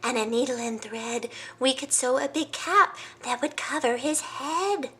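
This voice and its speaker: A woman's voice: high-pitched, a little slow, fairly bright tone, breathy edge, voice pushed up, heavily acted. Female reader as a skittish gnu that moans its way out of things. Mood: whiny. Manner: whiny and making excuses. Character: timid, complaining male gnu.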